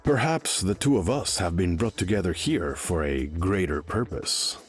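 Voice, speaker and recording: A man's voice: low voice, lively intonation, moderate pace, slightly rough. A man voicing a haughty, flirtatious king of the sea for a video game, with pompous, courtly phrasing. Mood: smug, wooing. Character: haughty, flirtatious undersea king, wounded pride. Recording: music behind.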